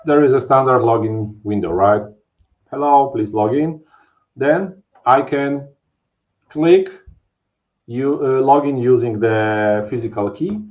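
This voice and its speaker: A man in his thirties with a Polish accent, presenting a live technical webinar from home over a webcam, explaining casually with many fillers and tag questions. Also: mid-range voice. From Poland. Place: home office, indoors. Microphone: webcam video call. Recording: dull, lo-fi stream audio.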